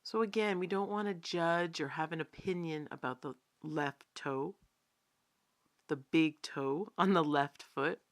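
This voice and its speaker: A woman leads a guided body scan meditation in a slightly low voice. Her delivery is very slow and calm, with long pauses between phrases. She instructs gently, as a teacher would, never hurrying the listener.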